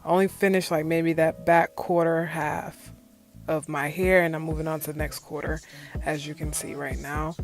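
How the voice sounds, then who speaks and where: A woman's voice: deep voice, steady tone, moderate pace, soft background music. A young woman chatting casually through a hair tutorial on her own channel, rambling with lots of ums and filler words. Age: young adult. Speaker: beauty vlogger.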